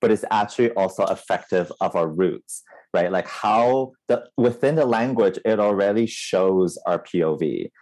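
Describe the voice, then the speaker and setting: A man's voice: low-pitched, expressive, moderate pace, a little mellow, rough recording. A man from Taiwan, a theater writer on a panel, speaking in a thoughtful, conversational way and explaining his points with examples.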